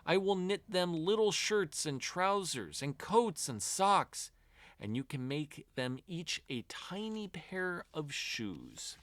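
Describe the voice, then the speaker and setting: A man voicing a kind, grateful shoemaker's wife in a storybook, with gentle, considerate speech. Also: sits high, very animated, slow pace, no echo, heavily acted. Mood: caring.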